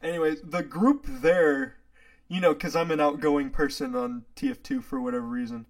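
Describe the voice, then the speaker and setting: A man's voice: fairly high pitch, very animated, moderate pace. A young man hosting a casual chat with friends, telling a long story in a rambling way with many fillers and frequent laughs. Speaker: podcast host.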